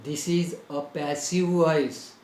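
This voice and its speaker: An Indian English-accented man teaches grammar in slow, deliberate dictation. He spells words out one letter at a time and repeats each phrase. His fairly high voice rings in an echoey room. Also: fairly even intonation, a little mellow. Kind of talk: grammar lesson.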